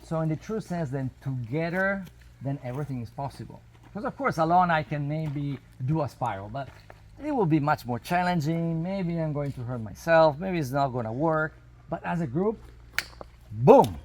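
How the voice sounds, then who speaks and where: A man who is not a native English speaker gives an informal explanatory talk to a group in a halting, emphatic way, with non-native phrasing. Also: fairly high pitch, lively intonation, a little mellow. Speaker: spiritual workshop leader. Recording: noisy outdoor recording, natural ambience.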